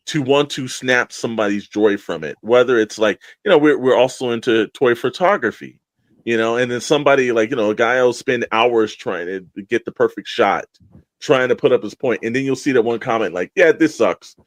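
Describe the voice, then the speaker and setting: A man's voice: medium pitch, moderate pace, no echo. A man co-hosting a podcast in casual discussion, rambling and opinionated, with an emphatic way of talking. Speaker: podcast co-host.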